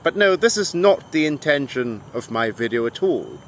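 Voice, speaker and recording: A man delivering an argumentative monologue to viewers in an emphatic, deliberate way, with rhetorical questions. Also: mid-range voice, sing-song, a little hiss or hum. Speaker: video essayist and English tutor.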